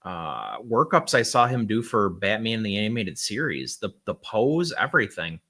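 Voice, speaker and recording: A man's voice: on the low side, very animated, normal speed, pushed and bright. A man co-hosting a casual video-call chat, laid-back with short reactions. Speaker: co-host.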